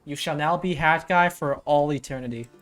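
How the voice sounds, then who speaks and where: A woman's voice: low voice, normal speed. A woman giving casual live commentary as she reacts to a video game. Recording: game music behind voice. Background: game music.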